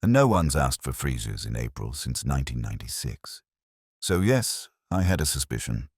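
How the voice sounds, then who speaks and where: A man voicing a brisk, forthright middle-aged woman florist who gossips in a wry, slightly guarded way in a village mystery story. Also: very deep voice, very animated, creaky, husky, dry recording, studio quality, full character voice.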